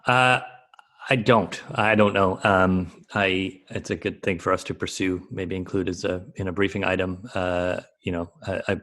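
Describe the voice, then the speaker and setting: A man giving unscripted advisory commentary as a panelist, in a halting, reflective way. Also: low voice, fairly even intonation, a bit bright. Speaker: expert panelist on a conference call.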